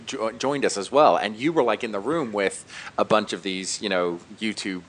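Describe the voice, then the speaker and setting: A man's voice: fairly low pitch, normal speed, fairly bright tone, a little hiss or hum. A man co-hosting a gaming podcast, talking casually in an informal, slangy way. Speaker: podcast co-host, gaming YouTuber. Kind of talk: casual chat.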